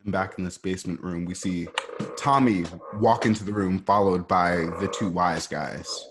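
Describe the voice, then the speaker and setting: A man narrating a radio play, reading out scene directions in a conversational way with a husky voice. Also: low-pitched, normal speed, background noise.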